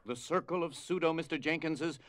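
A man narrating a scripted drama as storyteller, with grave, deliberate phrasing. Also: on the high side, fairly bright tone, dry recording.

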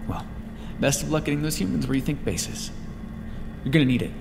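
A man's voice: medium pitch, normal speed, close to an everyday voice. A man voicing a sardonic, protective vampire scientist in an ASMR roleplay, with dramatic, formal speech that often drops toward a whisper. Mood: cold, bitter. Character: desperate, sardonic male vampire scientist, protective of his captive. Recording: hall-like reverb with clear background noise.

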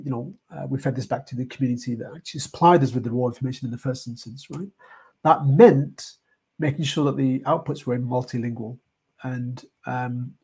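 Middle-aged man, British accent, husky, fry in the voice, hesitant delivery, informal online presentation. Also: medium pitch, darkish tone. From United Kingdom. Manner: halting, thinking aloud with frequent hesitations and hedging. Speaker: artist and project leader presenting a heritage talk. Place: indoor room, online video call.

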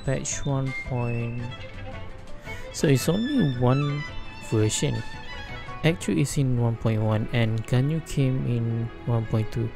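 A man's voice: medium pitch, creaky. A man giving casual game playthrough commentary, muttering as he thinks aloud. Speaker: gaming video host.

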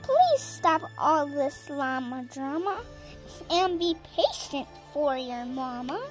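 A seven-year-old girl reading a children's story aloud in a deliberate, sing-song, emphatic way. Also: sits high, very animated, faint music behind. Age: child, seven years old. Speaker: storyteller reading a picture book.

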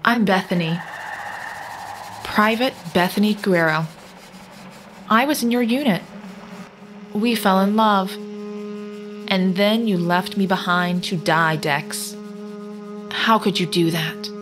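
A woman's voice: mid-range voice, slow pace, pauses often, close to an everyday voice. A woman playing a cold, accusing apparition of a dead soldier in an audio drama, speaking with relentless deliberation. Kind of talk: scripted audio drama acting. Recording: music under the voice.

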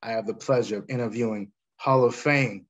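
American male interviewer, middle-aged, husky tone, courteous and respectful, on a video call. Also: medium pitch, fairly even intonation, a little fast, lo-fi sound. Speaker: interviewer, host. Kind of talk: sports interview.

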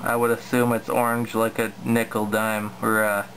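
A man casually talking through a hands-on radio demo in a plain, low-key way, recorded indoors on a handheld camera. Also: completely flat delivery, moderate pace. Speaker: radio hobbyist presenting a demo. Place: indoors at a home radio setup. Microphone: handheld camera filming the equipment. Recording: clear background noise, radio static.

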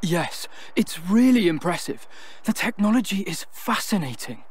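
An actor playing an eager, curious young tech enthusiast in a video game, polite and keen. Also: male voice, sits high, sing-song, normal speed, slightly rough, exaggerated voice. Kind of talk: video game cutscene acting.

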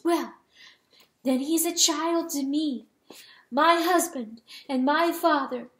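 A woman's voice: high voice, a little mellow, voice pushed up. A woman voicing a grieving, raging hen wife in a dramatic audiobook, pleading and declaiming with passion. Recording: roomy, echoing sound.

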